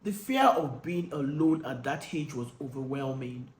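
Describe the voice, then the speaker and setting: A man with a croaky cold voice reading the letter of a hurt, guarded woman in her late forties. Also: extremely low pitch, expressive, moderate pace, darkish tone, roomy sound. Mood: confessional. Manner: earnest, confessional reading.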